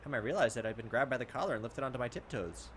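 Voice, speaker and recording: A man's voice: mid-range voice, very fast, slight background noise. A man reading a visual novel aloud as a gameplay host, adding casual, wry asides between the narrated passages. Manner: animated, wry joking asides. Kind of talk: let's play narration with casual commentary.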